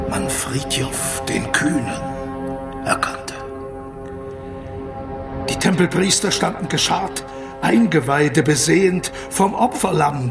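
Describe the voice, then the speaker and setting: Portentous old-saga poem reading, creaky and slow, dramatic, measured. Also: male voice, a little high, exaggerated voice. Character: solemn reciter of an ominous Norse ballad. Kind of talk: dramatic verse recitation.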